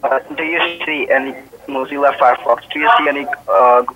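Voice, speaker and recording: A man's voice: medium pitch, steady tone, a little fast. A man posing as computer support on the telephone, giving repetitive instructions. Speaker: phone scam caller posing as computer support. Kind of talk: phone tech-support instructions. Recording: noisy telephone line with clicks.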